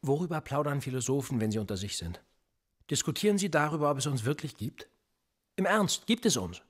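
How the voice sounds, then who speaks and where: A needling police interrogator. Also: male voice, mid-range voice, sing-song, a little fast, slightly rough. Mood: insinuating. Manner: needling, insinuating questions. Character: menacing male police interrogator, probing and mocking. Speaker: police officer. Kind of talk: radio play acting.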